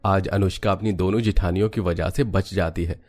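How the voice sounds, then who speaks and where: A man serves as narrator for a cartoon family tale. His voice is on the low side, and he delivers scripted storytelling narration. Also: fairly even intonation, normal speed, darkish tone.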